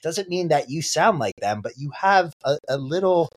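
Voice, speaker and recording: A man's voice: animated, normal speed. A man in his thirties in casual chat, teasing his friend and swinging his voice up when he mocks. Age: 30s. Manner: teasing, jumps high when mocking. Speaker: podcast guest. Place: Los Angeles.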